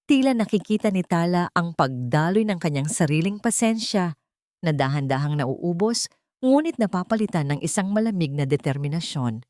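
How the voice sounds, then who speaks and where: A woman with a fairly low voice tells a dramatic story in a solemn, measured manner. The delivery is literary and scripted, and the sound is very dry and close, without room reflections. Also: darkish tone, no room sound.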